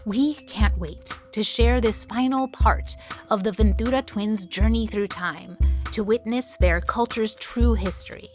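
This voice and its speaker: A woman with a mid-pitched voice delivers a scripted podcast announcement. Faint music plays underneath her narration. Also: unhurried.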